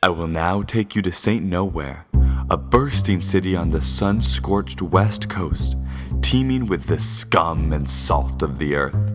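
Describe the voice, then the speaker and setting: An actor playing an ominous, theatrical host and narrator of an old-time radio mystery serial, with brooding, grandiose, drawn-out delivery. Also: male voice, deep voice, lively intonation, over music. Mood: ominous, inviting.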